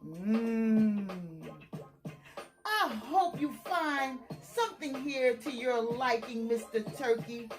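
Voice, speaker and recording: A woman's voice: a little high, lively intonation, slow, over music, voice pushed down. A woman voicing a gracious female moose hostess in a storybook, fussy, welcoming and a little hesitant. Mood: hesitant, concerned.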